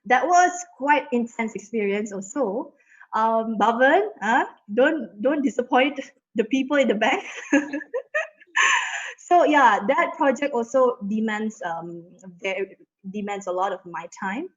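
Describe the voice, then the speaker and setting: A woman's voice: on the high side, lively intonation, a little slow. Chatty young woman on a video call. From Indonesia. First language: Indonesian. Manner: chatty, filler-heavy with frequent "you know". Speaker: panelist. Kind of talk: casual panel talk. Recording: slightly muffled video call audio.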